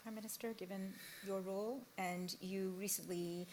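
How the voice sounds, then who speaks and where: A woman moderating a panel discussion on a conference stage, asking measured, probing questions. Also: mid-range voice, fairly even intonation, darkish tone, a little hiss or hum.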